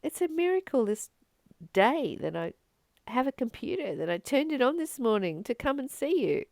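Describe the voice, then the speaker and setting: A woman speaking as a spiritual teacher, reflecting informally on a reading in an earnest, expressive way with emphatic repetition. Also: high voice, moderate pace, gravelly, no room sound, studio quality. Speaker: spiritual teacher and channel host.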